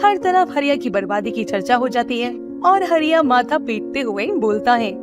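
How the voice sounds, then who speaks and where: A woman giving scripted narration of an animated moral story. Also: on the high side, normal speed, loud music behind the voice.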